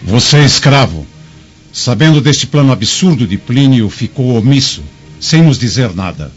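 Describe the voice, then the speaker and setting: An actor playing a stern, imperious Roman patriarch in a radio drama, commanding and severe. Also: male voice, mid-range voice, animated, measured pace, muffled recording, faint music behind, lightly acted. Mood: angry, commanding.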